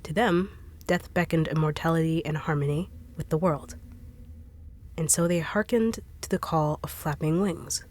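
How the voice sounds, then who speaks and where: Low-voiced blunt, sarcastic woman. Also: moderate pace, darkish tone. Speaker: voice actor in audio roleplay. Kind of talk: acted audio roleplay.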